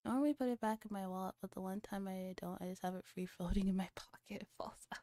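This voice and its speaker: A woman's voice: mid-range voice, fast talker, mellow, no echo, studio quality. A woman streamer chatting casually and playfully, often giggly, between bits of reading a visual novel aloud. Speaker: VTuber streamer, host.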